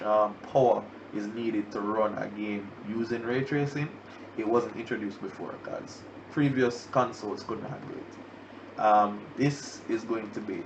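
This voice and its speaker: A Jamaican man hosts a casual livestream podcast while down with the flu, so his voice comes out stuffy and nasal. His low voice is rough and gravelly, and he rambles with lots of fillers and restarts. The audio is muffled and lo-fi, with steady noise underneath. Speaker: podcast host. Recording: dull lo-fi stream audio with background noise.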